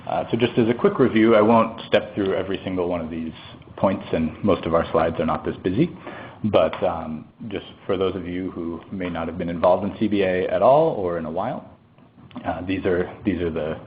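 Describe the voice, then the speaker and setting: Very deep man with a cold, sniffly, technical webinar presenter, tinny phone-line audio. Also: slight background noise. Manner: explanatory, with fillers and restarts. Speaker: presenter, economist. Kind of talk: technical lecture.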